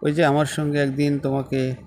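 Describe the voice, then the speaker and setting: A man's voice: fairly high pitch, little pitch movement, brisk, a little mellow, close to an everyday voice. A man acting as a persistent office boss in a phone-call drama, coaxing and reassuring in a conversational way. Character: persistent male office boss coaxing a reluctant female employee.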